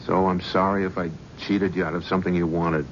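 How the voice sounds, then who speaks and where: A driven, sardonic talent scout, lowish voice, old radio play, dull hissy broadcast. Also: male voice, flat delivery, a little fast. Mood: bitter. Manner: bitter, restless, sardonic. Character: driven, haunted male talent scout, middle-aged. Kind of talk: 1970s radio drama acting.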